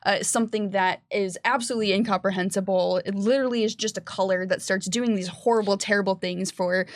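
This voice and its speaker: A woman's voice: mid-range voice, fairly even intonation, a little fast, no echo. A woman hosting a casual live-streamed panel discussion. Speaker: panel host relaying chat questions.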